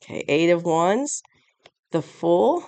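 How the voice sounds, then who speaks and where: A tarot reader with a raspy voice, hoarse and stuffed up from a cold, giving a casual reading and often searching for words mid-sentence. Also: medium pitch, lively intonation, takes it slowly, darkish tone. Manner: rambling, searching for words with many restarts; chuckles often while explaining.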